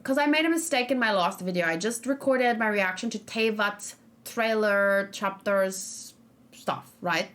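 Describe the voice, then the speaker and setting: A woman's voice: on the high side, a bit bright. A woman streamer giving a casual, rambling reaction to a game trailer, full of ums and restarts. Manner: rambling, self-correcting, with frequent ums. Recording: faint background music.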